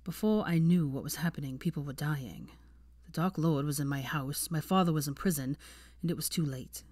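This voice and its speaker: A woman's voice: low voice, normal speed, darkish tone, no room sound, clean studio sound. A woman reading the part of a bitter, defensive seventeen-year-old wizard boy with a cold temper in an audiobook story. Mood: resigned, bitter. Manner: profane, bitter, arguing in long bursts. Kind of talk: fan fiction audiobook character dialogue.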